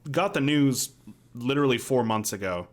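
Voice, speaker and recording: A man's voice: mid-range voice, lively intonation, moderate pace. A man, chatting casually as a podcast co-host on a video call. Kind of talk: casual chat. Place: home room, video call.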